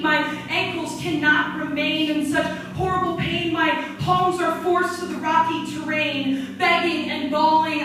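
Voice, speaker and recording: A young woman recites slam poetry in a high voice. Her delivery is dramatic and emphatic. She is some distance from the microphone in a very echoey room, with music clearly audible. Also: background noise. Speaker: spoken-word poet. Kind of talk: slam poetry recitation with casual spoken introduction. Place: large echoey indoor room at a retreat. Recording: very echoey room, far from mic.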